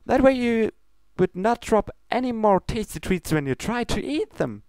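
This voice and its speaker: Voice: mid-range voice, sing-song, moderate pace, a little mellow, smooth, no room sound, pitched above the performer's own voice. A reader voicing a perky, oblivious female cartoon pony baker with a guileless manner in a comedy fan fiction reading. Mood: earnest concern.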